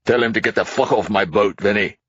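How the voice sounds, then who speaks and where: Guy doing a drunk rich old blowhard, yelling, husky and kinda muffled. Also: medium pitch, animated, a little fast, no echo, pitched above the performer's own voice. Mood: furious. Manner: bellowing, scornful. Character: rich drunken older man, crude and bullying.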